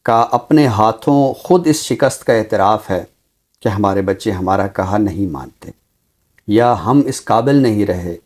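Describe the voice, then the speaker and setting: A Pakistani man speaking formally and deliberately as a literary commentator, with emphatic, repeated phrasing. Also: fairly low pitch, a little mellow. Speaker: literary commentator on a talk show. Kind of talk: measured, formal literary discussion and poetry recital.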